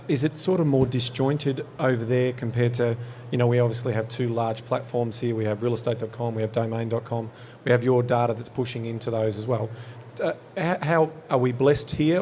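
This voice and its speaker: A man's voice: mid-range voice, a little mellow, a little hiss or hum. A man hosting a live podcast on an expo stage, asking long, winding interview questions. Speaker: podcast host.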